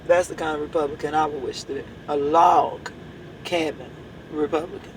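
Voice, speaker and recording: A hoarse woman with a drawl leads her church in an impassioned address. She hammers at the same rhetorical questions again and again, pressing each point home. A faint background hum sits under her voice. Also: deep voice, steady tone, normal speed, low-quality recording. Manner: emphatic, repeats rhetorical questions. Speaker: church leader.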